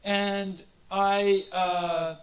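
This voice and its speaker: High-voiced man lecturing in an echoey hall. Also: flat delivery, drawn-out words. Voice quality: big voice. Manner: explanatory, loose, restarting phrases. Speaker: guest lecturer. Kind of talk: academic presentation. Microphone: handheld microphone. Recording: echoey hall, distant mic, faint noise.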